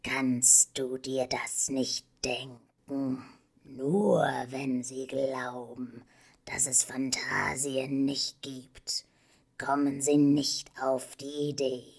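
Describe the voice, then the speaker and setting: A woman voicing a sinister, cynical male monster in an audiobook, in a hoarse whispery rasp with condescending menace. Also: extremely low pitch, darkish tone, full character voice. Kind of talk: audiobook character voice.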